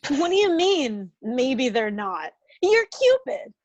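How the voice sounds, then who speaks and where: A woman's voice: high-pitched, big pitch swings, takes it slowly, no room sound, lo-fi sound, exaggerated voice. A woman playing a blunt, indignant Marine in a comedy script read. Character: blunt, indignant female Marine challenging Cupid.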